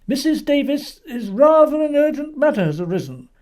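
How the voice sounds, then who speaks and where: Woman acting a confident police superintendent, mellow and formal. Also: high-pitched, very animated, voice pushed up. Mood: brisk. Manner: formal, authoritative, deliberate. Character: brisk, confident male police superintendent on telephone.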